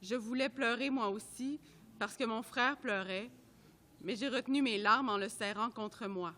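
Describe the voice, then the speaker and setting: A woman reading a report aloud at a public event, in a measured, careful way that sometimes trips over names. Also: fairly high pitch, bright, forceful tone. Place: art gallery at a live event.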